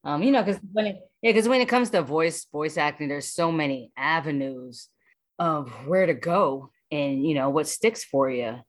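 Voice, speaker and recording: A woman with an American accent talks casually in an interview. Her voice sits low, and she rambles enthusiastically, circling back over her phrases. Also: normal speed. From Washington DC area, United States. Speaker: voice actor, interview guest.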